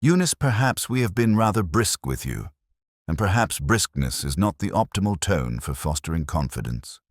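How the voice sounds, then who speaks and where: A man playing a stiff, husky committee chairwoman. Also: low-pitched, sing-song, normal speed, no room sound, studio quality, voice pushed up. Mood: stiff, conceding. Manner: stiff, formal.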